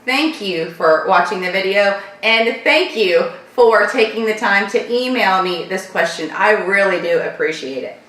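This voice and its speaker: A woman from Florida with a light Southern accent, hosting a casual viewer Q&A vlog and rambling warmly through her answers. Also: mid-range voice, lively intonation, unhurried, hardly any pauses, distant mic. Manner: chatty, repeats thanks, loose rambling sentences. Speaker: channel host answering viewer questions. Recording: echoey room, voice a bit off-mic.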